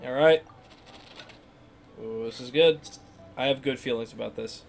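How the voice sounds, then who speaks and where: A woman's voice: very deep voice, a little slow, vocal fry, a little mellow, faint music behind. A woman giving casual, wry let's play commentary as she reacts to a game. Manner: wry, informal.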